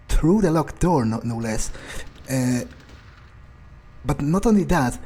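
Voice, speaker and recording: A man playing a haughty, irritable fledgling vampire in an in-character video game playthrough, grumbling in a halting, self-important way. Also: a little high, mellow, breathy edge. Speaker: gamer roleplaying his character. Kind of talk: in-character let's play monologue.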